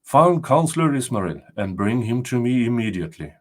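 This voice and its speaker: A man's voice: medium pitch, sing-song, brisk. Man reading as a proud, stern storybook king. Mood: commanding. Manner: imperious, formal questioning.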